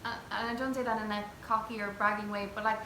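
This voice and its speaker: A young Irish woman, a traditional singer, telling stories in a lively, chatty way with restarts and asides. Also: medium pitch, fast talker. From Ireland. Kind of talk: casual chat. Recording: echoey room with faint noise.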